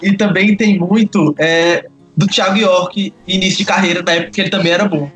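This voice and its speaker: A man with a distinctly high voice chats on a podcast panel. He is talkative and opinionated in a casual way, over faint background music. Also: steady tone, a little fast, a bit bright.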